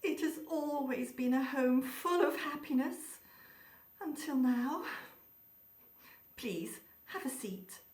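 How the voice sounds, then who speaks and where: A woman playing a gracious, grieving, motherly Baroness in a radio play, with formal, halting delivery. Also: sits high, lively intonation, darkish tone. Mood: gracious, subdued. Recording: roomy, distant microphone.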